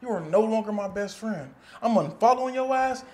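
A man's voice: high voice, animated. A man playing a sassy, wounded best friend. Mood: hurt, sassy. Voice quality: sassy, theatrical, drawn-out delivery. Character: dramatic jilted male best friend, sassy. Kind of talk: comedy skit acting.

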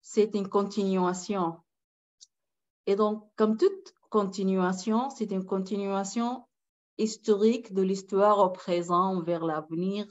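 A woman's voice: medium pitch, fairly even intonation, slow pace. Emphatic woman guest on a dull call. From Sudan. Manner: emphatic, repeats key phrases. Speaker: guest speaker. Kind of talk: conference talk. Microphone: webcam on a video call.

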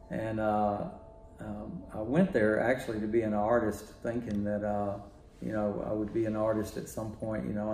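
An older man from Texas, a music producer talking in a relaxed, rambling way full of fillers, in his home studio. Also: on the low side, echoey room, a little hiss or hum. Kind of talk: casual interview talk.

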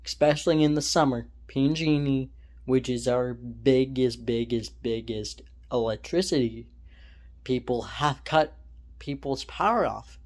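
Slow, rambling young man on his phone. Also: mid-range voice. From California, USA. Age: young adult. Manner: rambling, self-correcting, opinionated. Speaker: vlogger. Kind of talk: casual opinion monologue. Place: indoors, small room at home. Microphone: phone held at arm's length, selfie framing.

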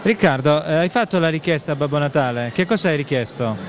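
A middle-aged man asks questions as a street interviewer. His voice sits a little high and moves quickly. He holds a handheld mic in an indoor shopping mall, with some noise behind him. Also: expressive. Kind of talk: vox pop interviewing. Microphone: handheld reporter microphone. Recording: busy public space, background noise.

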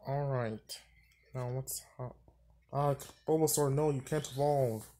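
A man's voice: mid-range voice, steady tone, unhurried, dark tone. A man giving casual let's-play commentary, thinking aloud, muttering and sighing as he plays. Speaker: gaming channel host. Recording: faint game music behind.

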